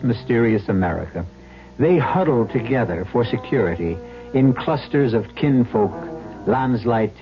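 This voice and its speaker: A man narrating an old radio drama as host, in a measured storytelling manner. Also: medium pitch. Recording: dull vintage radio recording.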